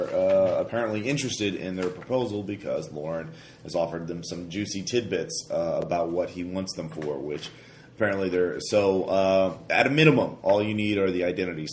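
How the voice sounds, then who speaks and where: A deep-voiced man with a stuffy head cold runs a tabletop game, rambling through fillers and restarts. His voice sounds slightly hoarse. The recording is roomy, with a faint hiss underneath. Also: normal speed. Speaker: game master. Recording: roomy echo, faint noise.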